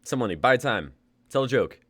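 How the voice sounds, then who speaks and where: A man's voice: medium pitch, animated, a little fast, a bit bright, no room sound. A young man in his twenties hosting a live script reading, laughing easily and reading the stage directions. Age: 20s. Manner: laid-back, laughing, uses y'all. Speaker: host and playwright.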